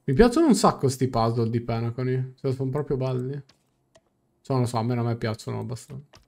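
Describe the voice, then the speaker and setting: A man's voice: medium pitch, expressive, brisk, vocal fry, a little mellow. A young adult man with an Italian accent, casually commenting on and translating a game as a live streamer. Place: small room at home. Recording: game music faintly behind.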